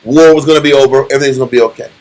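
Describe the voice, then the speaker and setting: A middle-aged man leading a Bible study, teaching in an animated, preachy way and often repeating himself. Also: medium pitch, racing, rough recording.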